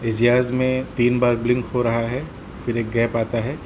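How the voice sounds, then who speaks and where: An Indian man hosts a practical gear tutorial in a medium-pitched voice. He explains each step in turn and goes back over the key points. Clear ambient noise runs steadily behind his speech. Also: level tone, moderate pace. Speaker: host of a motorcycle gear tutorial. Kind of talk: hands-on product demonstration.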